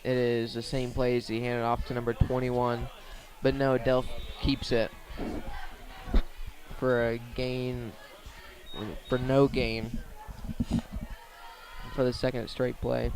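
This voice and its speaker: A man giving live radio play-by-play commentary outdoors at a football game, in an even delivery. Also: mid-range voice, slow, pauses often. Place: outdoors at a high school football field.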